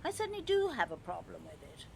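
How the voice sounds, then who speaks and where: A woman's voice: on the low side, huge pitch swings, quick pace, creaky, slight background noise, exaggerated voice. An actress playing a haughty, disapproving grandmother in an audio play, with imperious, complaining, formal speech. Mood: indignant scolding. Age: older.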